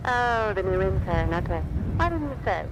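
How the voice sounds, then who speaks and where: A woman's voice: very animated, normal speed, music in the background, poor audio. A woman playing a brusque, officious gate receptionist, curt and bossy, in an audio drama. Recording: loud vehicle rumble behind.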